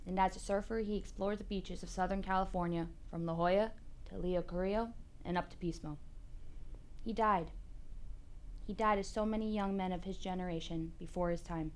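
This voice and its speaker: A woman's voice: medium pitch, darkish tone, natural voice. A woman performing a dramatic monologue as a solemn friend giving a eulogy.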